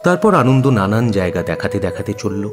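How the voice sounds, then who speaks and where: A man narrates a radio drama in a low voice. His intonation is very animated as he reads the scripted story, with music clearly playing underneath. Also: brisk.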